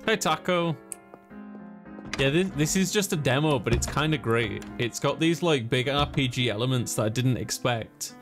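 A man streams a game in a casual, rambling way, with a mid-pitched voice. He jokes as he goes and talks loosely to his viewers. Game music plays behind him. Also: moderate pace. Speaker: let's play streamer. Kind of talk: casual gaming commentary.

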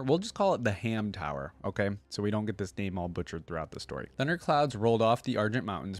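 A young man reading lore aloud and commenting casually, with expressive reading and conversational asides, close to a desk microphone. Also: on the low side. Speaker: reaction video host. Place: indoor streaming setup. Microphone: close desk microphone on a boom arm.

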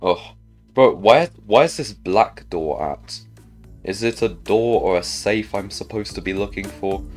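A man with a low voice and an unhurried pace hosts a gaming video. He comments casually on his play, muttering questions to himself as he goes. Music plays behind him.

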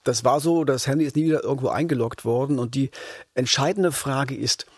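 A man's voice: mid-range voice, fairly quick, slightly rough, dry recording, clean studio sound. A man co-hosting a true-crime podcast, telling a case in a serious, explanatory way. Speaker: podcast reporter and co-host.

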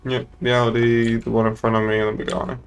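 A man's voice: medium pitch, monotone, fast talker, clear, resonant tone. A young British man chatting casually and informally while roleplaying as a moderator in an online game. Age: young adult. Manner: informal, sweary. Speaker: streamer, server moderator.

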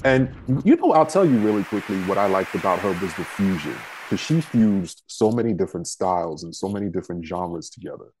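A man's voice: sits low, animated, brisk, hardly any pauses, darkish tone, slight background noise, low-quality recording. A man hosting an interview over a video call, chatty and teasing. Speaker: host. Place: indoor room at home. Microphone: video call webcam.

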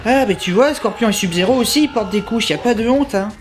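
A cheeky little teddy bear voiced by a man heckles in a high, childlike voice. It sounds naive and comic, like a puppet sidekick, with music clearly behind. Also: fast talker, lightly acted. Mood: baffled, cheeky.

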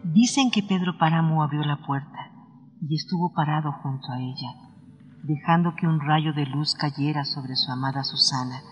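A high-voiced village storyteller played by a man recounts a tragic past in a radio drama. The narration is dramatic and somber, with music playing clearly underneath. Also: moderate pace, a bit bright, muffled recording, lightly acted. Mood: somber storytelling.

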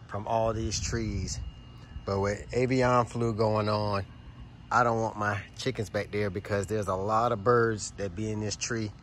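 A man's voice: lowish voice, slight background noise. A middle-aged man, walking through his backyard and explaining his chicken care step by step, recorded on a handheld phone. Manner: plain, friendly, step-by-step explaining to viewers. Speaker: homesteading vlogger. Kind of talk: casual walkthrough demonstration. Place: outdoors in a backyard garden. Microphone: handheld phone camera.

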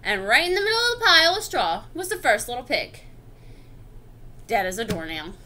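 A woman's voice: on the high side, sing-song, moderate pace, sharp tone, slight background noise, voice pushed down. A woman voicing an indignant, self-justifying wolf telling his side of a picture-book story in a chatty, confiding, theatrical way. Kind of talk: expressive picture-book read-aloud.

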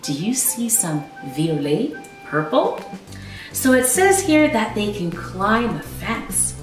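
A woman in her thirties reading a storybook aloud to young children as a teacher, with expressive emphasis and explanations. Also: medium pitch, recorded from a distance. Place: small living room at home, indoors. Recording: roomy echo, away from the mic.

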